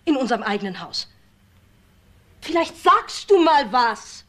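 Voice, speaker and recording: A haughty, indignant wife in a crime radio play. Her voice is fairly high and swoops with outrage. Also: big pitch swings, measured pace, sharp tone, exaggerated voice. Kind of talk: crime radio drama acting.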